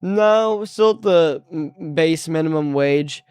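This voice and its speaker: A man's voice: on the high side, takes it slowly, smooth, no echo. A young man on a live stream chatting casually and easygoing about his work day. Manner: relaxed, easygoing, trails off mid-sentence. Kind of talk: casual chat.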